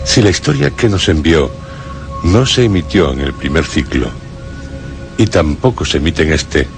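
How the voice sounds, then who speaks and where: A man presenting a scripted radio drama programme, solemn and theatrical with deliberate pauses. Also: very deep voice, animated, creaky. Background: prominent music.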